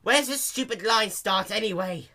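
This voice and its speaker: A man voicing a brash, impatient young female pegasus pony in an amateur story reading, loud and exasperated. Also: high voice, moderate pace, pitched above the performer's own voice.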